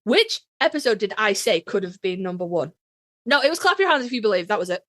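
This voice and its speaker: A woman's voice: mid-range voice, very animated, fast talker, a bit bright, no room sound, clean studio sound. A woman co-hosting a podcast in casual fan chat, speaking emphatically with plenty of swearing and teasing. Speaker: podcast co-host.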